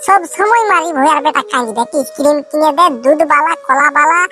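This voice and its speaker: A man doing a high-voiced, scolding village mother. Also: brisk, bright, forceful tone, slight background noise. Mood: exasperated. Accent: Purulia Bengali. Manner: scolding, blunt, grumbling. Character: exasperated, scolding village mother, quick to threaten. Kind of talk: comedy cartoon voice acting.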